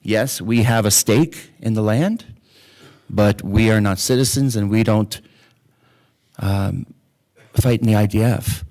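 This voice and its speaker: Thoughtful rabbi giving opening remarks. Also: male voice, on the low side, takes it slowly, long pauses, darkish tone. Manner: reflective, thoughtful. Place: synagogue chapel.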